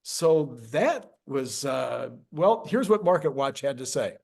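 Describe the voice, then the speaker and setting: A man hosting a casual market discussion show in a jovial way, quick to chuckle. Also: mid-range voice, sing-song, gravelly, darkish tone. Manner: jovial, laughs often. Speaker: host.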